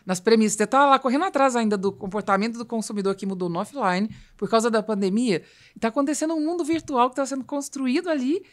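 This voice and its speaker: A woman's voice: fairly high pitch, fast, hardly any pauses. A Brazilian woman hosting a conversational business podcast, explaining her points emphatically. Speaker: podcast host.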